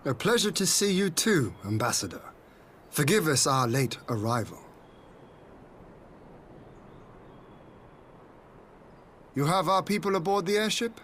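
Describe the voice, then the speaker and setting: Composed young lord. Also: male voice, on the high side, big pitch swings, moderate pace, a bit bright, a little hiss or hum. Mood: courteous. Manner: formal, composed, courteous. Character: dignified, measured young eastern lord. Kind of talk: video game cutscene acting.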